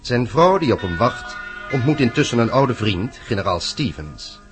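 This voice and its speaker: A man's voice: medium pitch, very animated, normal speed, close to an everyday voice. A man reading scripted narration as the storyteller of a radio drama. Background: music.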